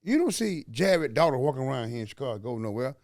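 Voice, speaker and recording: A man's voice: mid-range voice, big pitch swings, quick pace, darkish tone, no room sound. Southern guy from alabama hosting a podcast, folksy and preachy, lots of "you follow me", dry sound. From Alabama, USA. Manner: folksy, preachy, full of rhetorical questions and slang. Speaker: podcast host. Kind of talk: motivational podcast talk.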